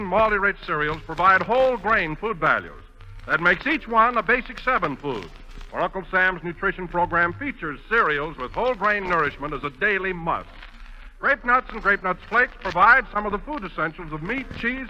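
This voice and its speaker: A man's voice: high-pitched, big pitch swings, normal speed, bright, forceful tone. A man reading a scripted 1940s radio commercial as an announcer, with emphatic, polished salesmanship. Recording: muffled 1940s radio broadcast.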